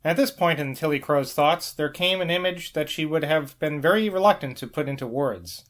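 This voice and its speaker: A man's voice: a little high, moderate pace, fairly bright tone. A man narrating a novel as an amateur audiobook reader, with the occasional small stumble over the text. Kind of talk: scripted literary narration, novel read aloud.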